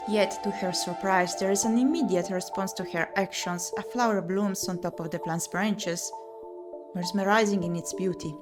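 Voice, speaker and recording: A woman doing let's-play commentary, reading game narration aloud and muttering and exclaiming to herself in an expressive way. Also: mid-range voice, moderate pace. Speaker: streamer playing and reading a visual novel. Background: music.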